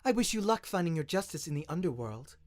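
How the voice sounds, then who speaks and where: A man voicing a polite, flattering young princess with a steely streak in an audio story. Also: a little high, fast talker, no echo, clean studio sound. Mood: defiant.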